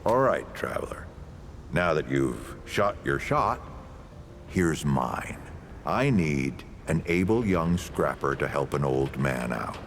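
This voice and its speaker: An actor playing an old, folksy, world-weary leader and storyteller in a video game, with a gravelly voice and unhurried delivery. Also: male voice, a bass voice, big pitch swings, a little hiss or hum, full character voice. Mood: businesslike. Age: older. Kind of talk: video game character dialogue.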